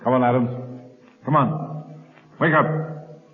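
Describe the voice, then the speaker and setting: An actor playing a nervous, rough-talking gangster henchman in 1930s radio acting, on a tinny old broadcast. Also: medium pitch, steady tone, slow pace. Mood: gruff. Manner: rough tough-guy speech with nervous chuckles.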